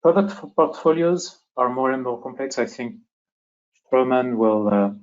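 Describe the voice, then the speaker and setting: A man with a French accent presenting a business webinar as moderator, speaking haltingly with repeated words, on dull webinar audio. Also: medium pitch, lively intonation, a little mellow. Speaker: webinar moderator and company executive.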